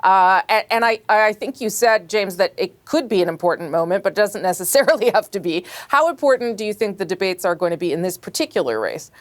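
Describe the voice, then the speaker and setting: A woman with a Canadian accent moderating a political panel, brisk and quick to laugh, cutting in to steer the talk. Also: mid-range voice, lively intonation. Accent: Canadian English. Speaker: host.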